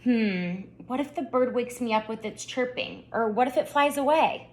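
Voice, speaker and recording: A woman voicing a spunky, excitable seven-year-old girl in a children's storybook, with an eager way of talking. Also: fairly high pitch, normal speed, pitched above the performer's own voice, natural voice. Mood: pondering. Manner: eager, exclaiming, pondering aloud. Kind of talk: children's storybook read-aloud.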